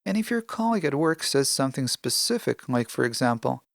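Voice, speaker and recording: Gravelly, dry-sounding podcast host. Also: medium pitch, very animated, a little fast, no echo. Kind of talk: scripted self-help podcast narration.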